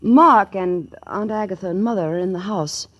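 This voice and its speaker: A woman playing a bold, cool, flirtatious femme fatale in 1940s radio style, husky, arch and self-possessed. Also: medium pitch, moderate pace, fairly bright tone, dull audio, close to an everyday voice. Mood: composed.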